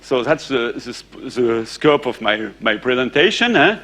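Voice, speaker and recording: A man lectures a conference audience in English. He talks haltingly and restarts his sentences often, with plenty of uh and eh. Now and then he stops to ask the room a question. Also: mid-range voice, sing-song, fairly bright tone, slight background noise. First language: French. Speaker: conference speaker.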